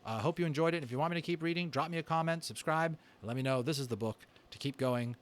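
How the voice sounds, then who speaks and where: A man narrating a children's book as an amateur reader, in a dramatic, deliberate storytelling style. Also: fairly high pitch.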